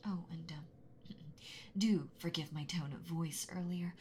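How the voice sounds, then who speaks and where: A woman voicing a gentle, motherly giant female dragon in a whispered roleplay, speaking in a soft, breathy, doting way. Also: fairly low pitch, fairly even intonation, takes it slowly, a little hiss or hum. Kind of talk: whispered roleplay audio, ASMR.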